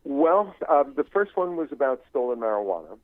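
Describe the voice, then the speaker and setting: An older man speaking as an interview guest in a reflective, conversational way, haltingly searching for his words. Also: medium pitch, sing-song, no echo. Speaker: interview guest, novelist and screenwriting teacher. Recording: thin phone-like line.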